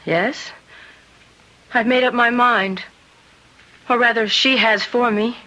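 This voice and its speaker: Fragile young lady in old radio drama, highish voice, slow and hesitant. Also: steady tone, bright, forceful tone, poor audio. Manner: hesitant, halting. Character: fragile, tender young woman with lost memory.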